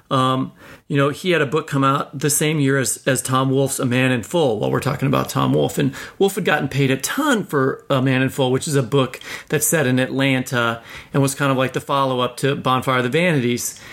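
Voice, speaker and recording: A man's voice: fairly high pitch, vocal fry, a bit bright. A man hosting a podcast, telling anecdotes casually with informal asides. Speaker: podcast host.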